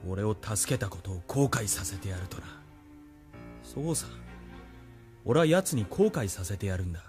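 A man's voice: on the low side, big pitch swings, normal speed, music in the background. A man playing a proud, vengeful young military officer in an audio drama, with a menacing, taunting manner. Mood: bitter, vengeful. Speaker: voice actor. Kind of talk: anime-style audio drama acting.

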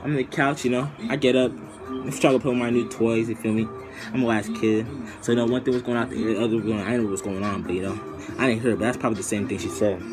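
A young man telling a casual, comedic story to camera in an animated, slangy way, acting out the scenes, recorded in a small room at arm's length. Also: mid-range voice, quick pace, over music, low-quality recording. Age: young adult. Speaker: storytime vlogger.

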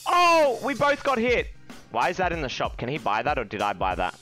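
Man, young, card game streamer, lively pitch, bright edgy voice, foul-mouthed, faint music behind. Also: brisk. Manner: profane, excitable, shouting when upset. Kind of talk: live game stream commentary. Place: small room indoors.

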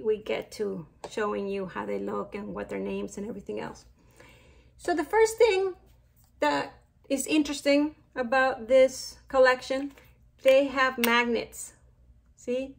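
A woman's voice: on the high side, gravelly. A middle-aged woman from Mexico with a Mexican accent, chatting casually as she reviews makeup products. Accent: Mexican Spanish. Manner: chatty, slow and unhurried with fillers and trailing asides. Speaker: beauty vlogger.

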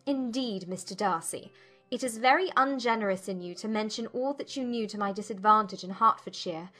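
A woman playing a witty, spirited young gentlewoman, arch and playful, in an audiobook drama. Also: mid-range voice, brisk, faint music behind, natural voice. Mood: teasing.

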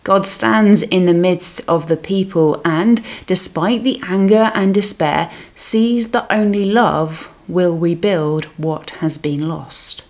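A woman minister reading a scripted devotional reflection in a measured, solemn manner. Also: on the low side, darkish tone.

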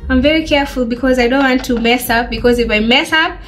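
A woman in her twenties, chatting in a lively way to her webcam while she does her makeup and answers viewers' questions. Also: high-pitched, fast talker, soft background music. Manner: bubbly, animated, laughs often. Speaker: beauty vlogger. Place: small bedroom, indoors.

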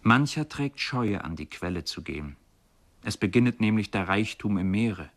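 A man narrating literary prose and reciting poetry in a measured, formal manner for radio. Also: fairly low pitch, expressive. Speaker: radio feature speaker.

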